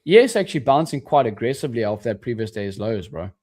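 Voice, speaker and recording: A man's voice: medium pitch, very animated, fast talker, a little mellow. A man in his twenties co-hosting a live trading stream, chatting casually and trailing off mid-sentence. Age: 20s. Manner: laid-back, says "bro" and "dude", trails off mid-sentence.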